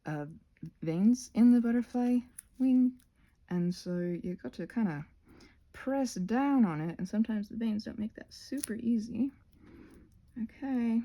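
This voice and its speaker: A woman's voice: a little high, animated, a little slow, long pauses, warm tone. A woman hosting a casual craft tutorial in a scattered, rambling way, trailing off mid-sentence and talking to herself with a playful streak.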